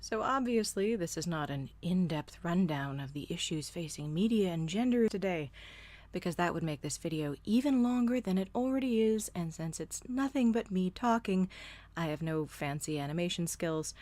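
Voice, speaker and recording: A woman's voice: fairly low pitch, expressive, normal speed, darkish tone, no room sound. A woman delivering scripted opinion commentary in a blunt, sardonic manner, casually profane. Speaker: host of a solo commentary show.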